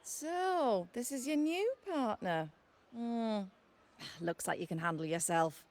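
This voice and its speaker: An actress playing a brisk, no-nonsense female tavern keeper in a video game, blunt and wry. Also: a little high, lively intonation. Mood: wry, sizing up. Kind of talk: video game character acting.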